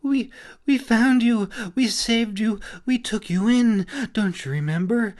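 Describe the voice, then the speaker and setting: A man's voice: sits high, unhurried, darkish tone, pitched above the performer's own voice. A man voicing an indignant, pleading female fairy in a fantasy audiobook. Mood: tearful, bitter. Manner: pleading, exclaiming.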